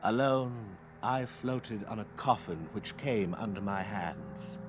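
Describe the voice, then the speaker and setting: An actor playing an awed, haunted young spacefarer, narrating poetically and solemnly in a 1960s radio drama. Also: male voice, lowish voice, slow pace. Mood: desolate wonder. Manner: theatrical, solemn, incantatory. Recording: noisy 1960s radio recording.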